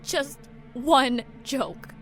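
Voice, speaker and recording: An actress playing an earnest young woman in an audio drama, urgent and expressive as she searches on behalf of her grandfather. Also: sits high, extremely slow, soft background music. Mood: pleading, urgent. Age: young adult. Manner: talks to herself, gasping, urgent pleading.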